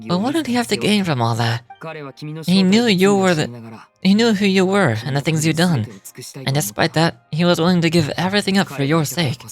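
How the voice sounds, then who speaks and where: A man voicing a theatrical young male stage magician from a game, knowing and cutting, with deliberate, pointed delivery. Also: fairly high pitch, animated, soft background music, close to an everyday voice. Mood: accusing, solemn.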